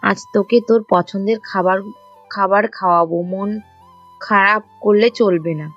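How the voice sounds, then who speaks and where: A woman playing a caring, firm but forgiving mother of a teenage son in an audio story, gently chiding and encouraging. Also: medium pitch, fairly even intonation, a little slow, dull audio, soft background music, close to an everyday voice. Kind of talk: Bengali audio story acting.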